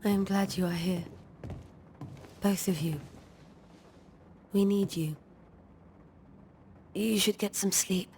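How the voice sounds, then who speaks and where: A woman playing a grieving, grateful colonial townswoman in a video game drama, soft and subdued. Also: medium pitch, steady tone, slow, long pauses, a little hiss or hum. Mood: sad, grateful.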